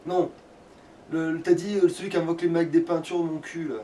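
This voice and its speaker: A man's voice: fairly high pitch, little pitch movement, brisk, warm tone, recorded from a distance, slight background noise. A man giving casual let's play commentary with a friend, grumbling and swearing in a colloquial way. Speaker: let's player.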